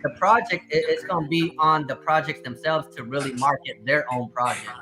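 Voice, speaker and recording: A man hosting a casual livestream chat about crypto, explaining at length in a relaxed way. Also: a little high. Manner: laid-back, drawn-out explanations. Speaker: livestream host.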